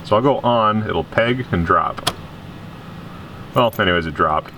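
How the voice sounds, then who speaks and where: A man's voice: on the low side, expressive, measured pace. A man explaining hands-on car repair in a casual, conversational way, as a vlogger in his garage. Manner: informal, conversational. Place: indoor garage workshop. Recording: noisy garage with background hum.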